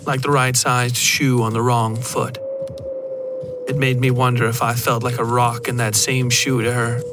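A man reading a first-person horror story as a scripted narration, in a measured, literary storytelling manner. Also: medium pitch. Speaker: narrator of a fiction podcast.

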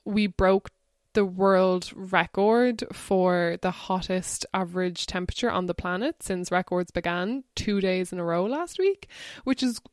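A woman's voice: on the low side, measured pace, dry recording, clean studio sound. A woman hosting a podcast, talking earnestly and casually with lots of fillers. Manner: chatty, earnest, swears casually, many fillers. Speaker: podcast host.

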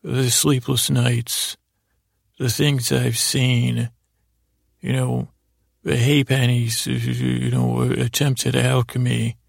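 A man with a heavily creaky, gravelly voice tells a rambling, improvised bedtime story. His pitch stays very flat and even while he wanders through friendly digressions, stopping and restarting. The sound is close and dry. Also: no room sound. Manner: meandering, halting, repeats and trails off; mild, friendly, absurdly digressive. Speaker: podcast host telling bedtime stories.

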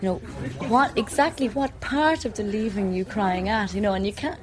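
A woman's voice: mid-range voice, expressive, normal speed, a crowd in the background. A chatty Irish woman in her thirties from Dublin, telling stories animatedly and laughing often. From Ireland, Dublin. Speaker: wife moving house. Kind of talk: casual chat.

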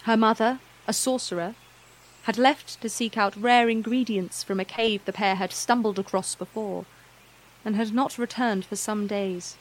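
A woman's voice: medium pitch, steady tone, moderate pace, rough recording. Woman narrating a storybook fantasy tale.

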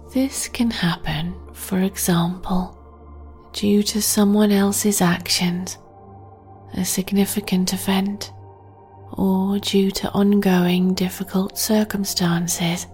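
A woman guiding a sleep meditation in a soft, breathy near-whisper, gently and with long pauses. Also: medium pitch, fairly even intonation. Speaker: meditation guide and podcast host. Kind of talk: guided sleep meditation, soothing narration. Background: meditation music.

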